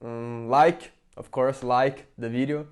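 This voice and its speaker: A man's voice: medium pitch, fairly even intonation, slow, clear, resonant tone. A man from Brazil with a Brazilian Portuguese accent, speaking English in a chatty, rambling opinion vlog full of fillers and restarts. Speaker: vlogger. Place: in England.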